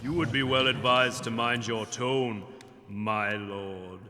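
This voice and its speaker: Haughty fantasy king, very sharp forceful tone, slow commanding delivery, formal, audio drama, hall reverb. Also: mid-range voice, fairly even intonation. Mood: cold menace. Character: indignant, imperious fantasy king, haughty and threatening. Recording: echoing throne hall, long reverb.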